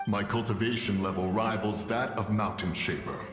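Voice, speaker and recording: Fantasy game role: lofty male immortal played by a man, low register, archaic and imperious, reverberant sound. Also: steady tone, normal speed, lightly acted. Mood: grave, commanding. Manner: formal, archaic, imperious phrasing. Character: stern, lofty immortal adeptus, ancient male guardian. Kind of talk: theatrical fantasy game voice acting. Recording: roomy long reverb, faint music behind.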